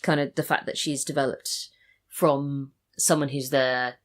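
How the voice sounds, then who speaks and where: A woman's voice: very deep voice, steady tone, unhurried. A woman chatting casually as an interview guest, thoughtful and correcting herself as she talks. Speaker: podcast guest, audio drama writer.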